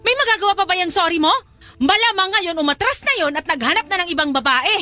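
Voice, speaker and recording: A woman's voice: extremely high pitch, lively intonation, fast, pushed and bright, no room sound, soft background music, heavily acted. A woman playing a domineering mother in a radio drama, nagging and cutting in her delivery. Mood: angry scolding. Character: domineering, scolding mother pushing daughter toward money. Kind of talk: Tagalog radio drama acting.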